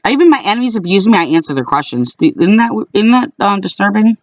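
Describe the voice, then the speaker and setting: A man speaking informally as an aggrieved caller, in a halting, repetitive way, recording complaints about calls. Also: sits high, sing-song, no echo, distant mic, highs cut off. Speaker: caller recording own phone calls to a police dispatcher. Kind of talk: informal complaint to camera and on phone.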